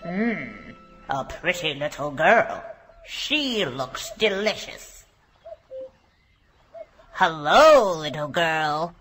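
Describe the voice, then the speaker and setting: An actor playing a sly, greedy big bad wolf in a children's fairy tale, with a raspy growl and drawn-out, menacing wheedling. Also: medium pitch, a bit bright, heavily acted. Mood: sly, hungry.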